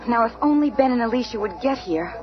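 A woman's voice: fairly high pitch, expressive, fast, lightly acted. A woman playing a composed, capable superheroine in a radio drama. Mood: light, composed. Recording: muffled old radio recording, music behind.

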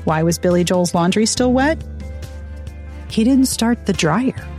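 A woman podcast host speaks in a playful, joking way at a mid pitch. Faint music plays quietly under her voice. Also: expressive, measured pace. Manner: playful, jokey. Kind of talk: podcast interview and outro.

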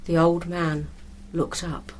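A woman reading a scripted story as narrator, in a measured, expressive storytelling manner. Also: on the low side, slight background noise.

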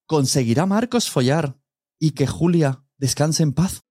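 A man's voice: on the high side, unhurried, mellow. A man with an accent from Spain talks casually and directly to the listener as a podcast host. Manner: chatty, direct address to listener.

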